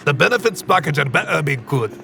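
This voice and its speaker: An actor playing a seasoned, wry gambler mentor in a fantasy audio drama, colloquial and instructive. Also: male voice, a little high, sing-song, quick pace, vocal fry, music in the background.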